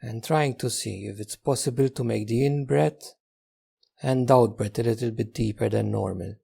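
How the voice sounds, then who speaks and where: A man leading a guided meditation in a gentle, unhurried manner, leaving long pauses between instructions. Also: medium pitch, gravelly, husky.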